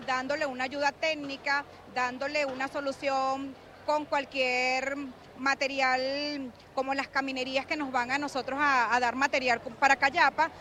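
A woman with a high, light voice speaks haltingly, pausing to search for words. The interview takes place outdoors at a community event, with faint noise behind her. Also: bright, forceful tone. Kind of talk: interview soundbite.

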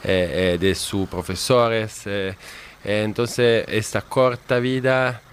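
A man from Italy speaking Spanish with an Italian accent as a guest historian on a radio interview, halting with many pauses and fillers. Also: lowish voice, fairly even intonation, slight background noise.

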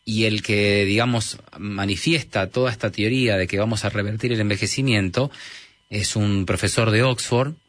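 Interview guest: man, mild Argentine accent, low voice, dry close sound, halting delivery full of fillers. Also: fairly even intonation, fairly bright tone, no room sound. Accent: Argentine Spanish, mild. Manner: hesitant, many fillers and restarts. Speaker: technology guest. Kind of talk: conversational interview.

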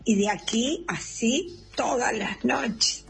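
An Argentine woman in her eighties with a fairly high voice, speaking slowly and affectionately. She chats as a listener leaving a radio message, with music behind her. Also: vocal fry. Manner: unhurried, chatty, affectionate. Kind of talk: casual personal message.

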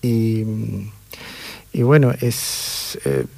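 Thoughtful Chilean conductor, dry sound. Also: male voice, medium pitch, big pitch swings, drawn-out words, a little mellow, no room sound. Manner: thoughtful, hesitant with many fillers. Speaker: orchestra conductor. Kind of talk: radio interview guest.

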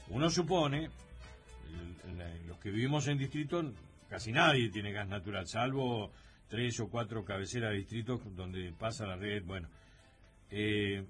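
A man's voice: mid-range voice, fairly bright tone. A man hosting a radio talk show, thinking aloud with many hesitations and fillers. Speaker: radio host.

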